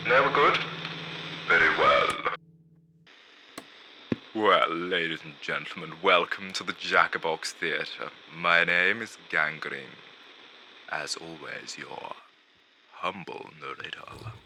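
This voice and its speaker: A man playing a vain, gloomy undead showman who narrates an animated film in a pompous, drawn-out theatrical manner. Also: low voice, a little hiss or hum, heavily acted, rough recording. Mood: grand, showy. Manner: dramatic, pompous, drawn-out delivery with hushed asides.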